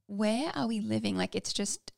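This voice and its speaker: Woman with a gravelly edge, dry sound. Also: mid-range voice, normal speed, darkish tone, no room sound. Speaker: podcast co-host. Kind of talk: casual chat, reading stories aloud.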